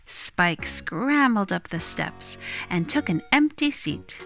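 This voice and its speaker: Female children's storyteller: very unhurried, lively intonation, bright tone, dramatic phrasing, soft music behind. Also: mid-range voice, gravelly. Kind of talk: expressive children's storybook read-aloud.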